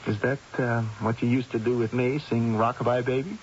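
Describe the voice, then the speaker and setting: An actor playing a mild young grown son and expectant father in an old-time radio drama, speaking in a halting, hesitant way. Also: mid-range voice, fairly even intonation, natural voice. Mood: amused. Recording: hissy, dull old radio recording.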